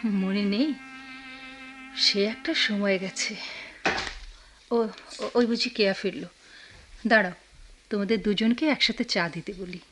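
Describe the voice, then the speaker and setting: An actress with a Bangladeshi accent playing a tender, motherly married woman in a radio drama, soft-hearted and expressive. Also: medium pitch, slow, long pauses, over music, lightly acted. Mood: sorrowful, then attentive. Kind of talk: Bengali radio drama acting.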